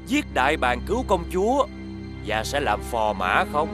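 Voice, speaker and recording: A man playing a scheming, gloating older brother and fawning court villain in an animated fairy tale, with a sneering delivery. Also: medium pitch, moderate pace. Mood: gloating, menacing. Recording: music playing behind the voice.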